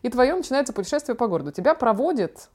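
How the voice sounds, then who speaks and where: Young woman podcast host, talks super fast, slangy, expressive storytelling. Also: fairly high pitch. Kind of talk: casual chat, personal storytelling.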